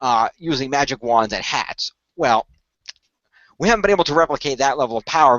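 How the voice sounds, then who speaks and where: A man playing an earnest male leader who recruits heroes in a radio play, explaining things in a halting, deliberate way. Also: mid-range voice, a bit bright, no room sound, dull audio, close to an everyday voice. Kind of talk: improvised radio play dialogue.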